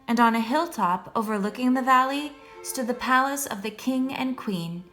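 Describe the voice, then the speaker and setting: A woman reading a children's story aloud as a storyteller, in a measured, expressive way meant for young listeners. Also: fairly high pitch. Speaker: storyteller, first-grade teacher. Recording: music behind the voice.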